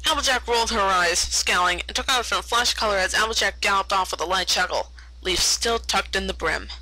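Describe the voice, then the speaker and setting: Very bright-voiced woman reading a story. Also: medium pitch, fairly quick, low-quality recording. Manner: stumbles over words and repeats them. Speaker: fan fiction reader. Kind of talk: read-aloud story narration.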